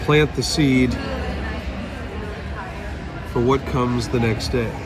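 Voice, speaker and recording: Slow, deliberate man giving a rune reading. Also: medium pitch, steady tone, crowd noise. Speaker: rune reader. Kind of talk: solemn spoken divination reading. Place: fair booth, filmed on location.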